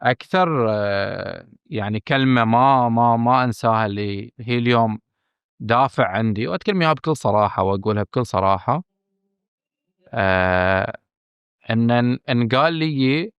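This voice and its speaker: A man with a Bahraini accent, a film director speaking candidly as a podcast guest, emphatic and earnest, often pausing on fillers and restarting. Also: mid-range voice, clean tone, no echo, dull audio. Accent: Bahraini Arabic. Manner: earnest and emphatic, stressing his frankness, with hesitant fillers and restarts.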